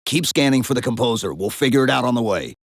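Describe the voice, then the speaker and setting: A man's voice: medium pitch, lively intonation, quick pace, no echo. A man voicing a stoic, resolute soldier in a video game, speaking in a terse, matter-of-fact way.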